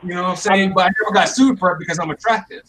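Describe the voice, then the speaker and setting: A man in a casual podcast chat who talks haltingly, restarting his sentences and joking around. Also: high-pitched, a bit bright, rough recording. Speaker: podcast co-host.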